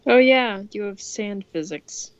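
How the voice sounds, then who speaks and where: A woman's voice: mid-range voice, measured pace, no room sound, highs cut off. A young woman streaming a game, chatting casually and exclaiming readily as she reacts to play. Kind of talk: casual co-op gameplay chat.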